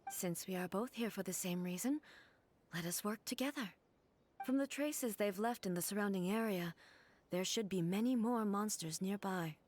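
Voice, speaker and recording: Game cutscene: actress as a grave, soft-spoken ruler with a measured, commanding, breathy read. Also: female voice, medium pitch, close to an everyday voice. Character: solemn divine ruler, calm and commanding. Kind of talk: video game cutscene dialogue.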